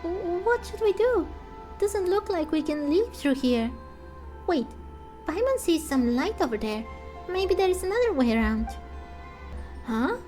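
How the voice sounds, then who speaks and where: A woman voicing a small, excitable, sceptical fairy companion in a game, speaking in an exclamatory way. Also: very high voice, unhurried, long pauses, pitched above the performer's own voice, full character voice. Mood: alarmed. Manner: exclamatory, indignant, speaks of herself by name. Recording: music under the voice.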